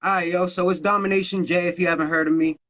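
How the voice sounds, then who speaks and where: A man's voice: high voice, level tone, fast, a bit bright. A man in his thirties from Massachusetts, a rapper talking loosely and casually in an interview, swearing freely. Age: 30s. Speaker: rapper guest.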